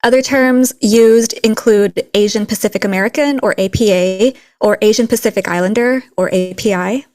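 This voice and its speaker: A woman raised in a Texas border town, co-hosting a podcast in a relaxed, reflective way with light laughs. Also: medium pitch, normal speed, hardly any pauses, no echo, studio quality. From Philippines, raised in a Texas border town. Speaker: podcast co-host. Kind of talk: casual conversational podcast chat.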